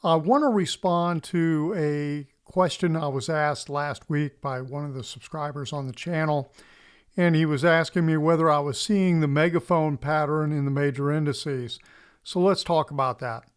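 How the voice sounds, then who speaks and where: Man, slightly high pitch, casual explanatory commentary, folksy, conversational, checks in often, channel host. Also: fairly even intonation, normal speed, clean tone. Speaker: host of a stock market analysis channel.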